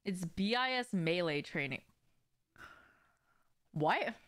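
A woman's voice: fairly low pitch, animated, slow, a bit bright. A young woman game streamer chatting casually with her viewers while playing, informal, slangy and prone to swearing. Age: young adult. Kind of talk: casual livestream chat with viewers while gaming. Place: indoors, small home room with shelves.

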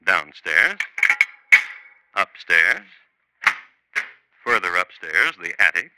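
An actor playing a wry, theatrical narrator of true crime tales in a 1950s radio drama, speaking deliberately. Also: male voice, low-pitched, sing-song, background noise, heavily acted. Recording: vintage 1950s radio, faint music.

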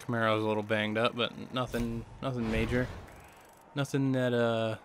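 A man's voice: medium pitch, level tone, normal speed. A young man with a scratchy throat from a cold, chatting casually with lots of fillers while he plays a racing game. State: hoarse from a cold. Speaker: gaming YouTuber. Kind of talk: casual let's play commentary. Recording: voice over loud game car noise.

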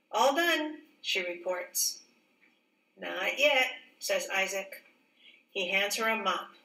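Female storybook reader, teacher type: unhurried, careful phrasing, expressive dialogue, roomy distant recording. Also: mid-range voice. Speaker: teacher reading a picture book aloud. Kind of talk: read-aloud storybook narration. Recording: roomy echo, distant mic.

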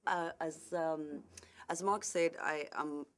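A woman's voice: low voice, steady tone, slow pace. A woman from Somalia, a television host, giving a gracious, hesitant public speech with filler words. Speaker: guest speaker, television host.

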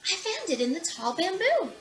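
A young woman reading a children's picture book aloud with expressive storytelling. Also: very high voice, normal speed, noisy background. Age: young adult. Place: small room indoors.

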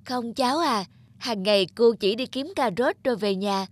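Woman as a high-voiced, kindly lady rabbit. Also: normal speed, close to an everyday voice. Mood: grateful, regretful. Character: kindly, grateful grown female rabbit. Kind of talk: children's cartoon storytelling dub.

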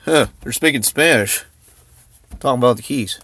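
A man's voice: mid-range voice, moderate pace, background noise. A man giving a casual, off-the-cuff show-and-tell, hesitant and thinking aloud, recorded on a handheld camera in and around a parked car. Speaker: vlogger. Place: in a parked car and just outside it.

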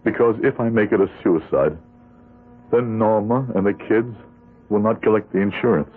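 A man's voice: on the low side, normal speed, slight background noise, close to an everyday voice. A man playing a despairing, earnest ordinary man in a 1970s radio drama. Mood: brooding. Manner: brooding inner monologue, earnest pleading when threatened. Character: despairing ordinary man, decent but rationalizing, narrating his misdeeds. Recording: thin phone-like vintage radio broadcast.

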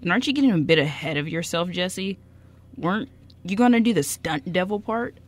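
Woman as a low-voiced nervous young guy. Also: animated. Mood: nervous, doubtful. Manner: hesitant, halting. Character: nervous, easily embarrassed young male actor. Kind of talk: amateur radio play acting.

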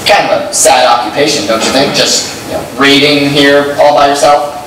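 A man's voice: medium pitch, fairly even intonation, normal speed, natural voice. A young man acting in a live stage comedy as an awkward, eager would-be suitor, talking hesitantly. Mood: persistent, awkward. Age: young adult. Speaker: stage actor. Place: theatre stage. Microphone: far camera. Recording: echoing stage, distant mic, clear noise.

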